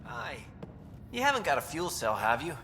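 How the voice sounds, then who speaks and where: Casual colony engineer, reverberant. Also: male voice, mid-range voice, big pitch swings, normal speed, sharp tone, a little hiss or hum. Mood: startled, casual. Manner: casual, plain-spoken, wry. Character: down-to-earth male chief engineer at a space colony. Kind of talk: naturalistic video game acting. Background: game music.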